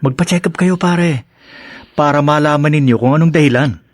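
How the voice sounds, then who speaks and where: An actor playing a brash, callous Filipino drinking buddy in a radio drama, scoffing, teasing and pushy. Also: male voice, a little high, fairly quick, no room sound, clean studio sound, lightly acted. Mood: teasing, curious.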